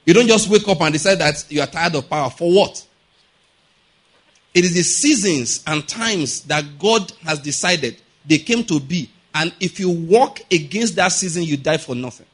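A man's voice: on the high side, expressive, normal speed, husky. A middle-aged man preaching a sermon, emphatic and often checking that listeners follow. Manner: emphatic, keeps asking "are you getting my point?".

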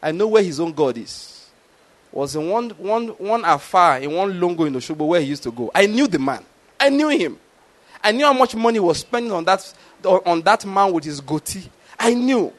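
A middle-aged preacher with a notably high voice for a man. He preaches in a storytelling way, often stopping to ask the congregation whether they follow. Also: big pitch swings, normal speed. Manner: storytelling with rhetorical questions. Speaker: pastor. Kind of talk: sermon.

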